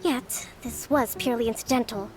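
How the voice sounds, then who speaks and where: A woman voicing a composed young female military leader in a video game, formal and measured, stern yet compassionate. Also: fairly high pitch, fairly even intonation, lightly acted, rough recording. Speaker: game character voice actor. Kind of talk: scripted video game dialogue. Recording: game music behind the voice. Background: music.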